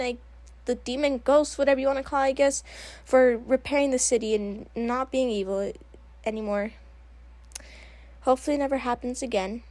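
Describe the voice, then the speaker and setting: Woman as halting news anchor, dry sound. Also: fairly high pitch, a little mellow, no room sound, lightly acted. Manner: halting, informal, awkward. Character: hesitant, informal female local news anchor. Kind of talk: mock news report in audio drama.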